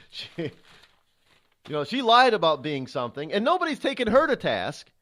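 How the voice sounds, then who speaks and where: A man's voice: a little high, huge pitch swings, normal speed, pushed and bright. A middle-aged man hosting a talk radio show, delivering an indignant, rhetorical monologue with frequent stumbles and fillers.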